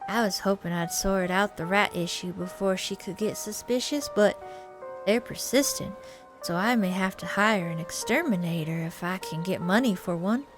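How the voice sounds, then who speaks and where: Woman acting as devout male pastor, earnest, fretful, prim, mid-pitched, visual novel character, prominent background music. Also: moderate pace, lightly acted. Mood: worried, earnest.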